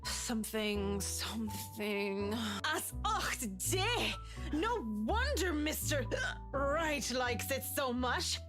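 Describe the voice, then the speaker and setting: A woman's voice: high-pitched, big pitch swings, slow pace, heavily acted. A woman voicing a brash, hard-drinking young woman who talks crude and teasing. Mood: scheming. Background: game music.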